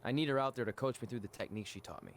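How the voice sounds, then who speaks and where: A man's voice: mid-range voice, very animated, racing, creaky, slight background noise. A man voicing an anxious, goofy young wrestling champion in a video game cutscene, self-deprecating and earnest. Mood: firm.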